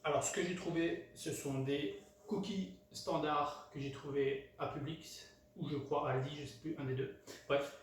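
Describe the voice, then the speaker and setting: A man's voice: fairly high pitch, steady tone, a little slow. A man from France hosts a casual cooking tutorial, hesitant and full of fillers and restarts. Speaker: home cook, channel host. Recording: very echoey room, mic far off, clear background noise.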